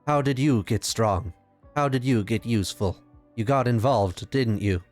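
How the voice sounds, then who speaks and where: A man's voice: medium pitch, a little slow, darkish tone, faint music behind, lightly acted. A man playing an earnest, determined mortal adventurer in a fantasy tabletop game, speaking in an insistent, persuasive way.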